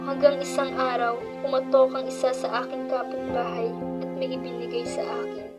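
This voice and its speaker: A woman's voice: high-pitched, little pitch movement, moderate pace, over loud music, lightly acted. A woman with a Filipino accent playing a troubled, orphaned teenage girl who narrates her life in a radio drama. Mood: somber, reflective.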